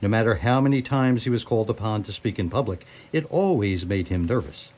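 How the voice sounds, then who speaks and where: A man's voice: fairly low pitch, animated, brisk, darkish tone. A man narrating a scripted radio drama as storyteller.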